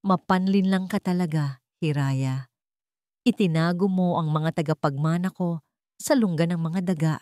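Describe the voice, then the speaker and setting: A woman's voice: fairly low pitch, normal speed, warm tone, no echo, close to an everyday voice. A woman voicing a cold, contemptuous rich old man in a radio drama, with a dry, commanding, sarcastic delivery. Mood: cold sarcasm. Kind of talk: Tagalog radio drama storytelling.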